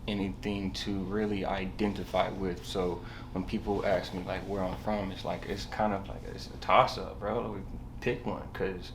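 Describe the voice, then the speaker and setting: A young man talks in a low voice. He rambles casually, hesitating and leaning on slang, like a guest telling his own story. The recording has clear background noise. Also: flat delivery, normal speed, few pauses. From Southern California, USA. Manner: slangy, rambling, hesitant, punctuated with bro and you know. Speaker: podcast guest, barber.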